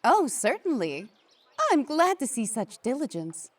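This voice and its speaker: A woman's voice: fairly high pitch, big pitch swings, measured pace, no room sound. A woman voicing a polite, genteel female inspector, courteous and inquisitive, in a fandub. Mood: pleasant, gracious. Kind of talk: fandub character acting.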